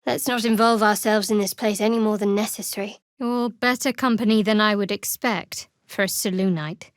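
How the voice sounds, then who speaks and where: A woman playing a guarded, devout young cleric in a video game, with a measured, dry and wry delivery. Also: mid-range voice, steady tone, dry recording, natural voice. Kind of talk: video game character voice lines.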